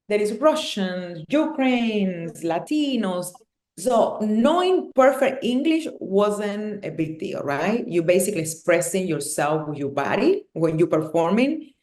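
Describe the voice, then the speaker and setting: A low-pitched woman in her thirties with a Cuban Spanish accent talks frankly as a podcast guest and laughs easily. Her voice rings in an echoey room. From Cuba. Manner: warm, candid, laughs often. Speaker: guest, hairstylist and educator. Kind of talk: casual podcast interview.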